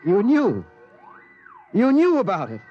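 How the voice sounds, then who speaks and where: A man's voice: high-pitched, huge pitch swings, slow pace, highs cut off, soft background music, heavily acted. An actor playing an anxious middle-aged father, hesitant and incredulous, in the manner of 1950s radio drama. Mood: shaken. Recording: vintage radio broadcast.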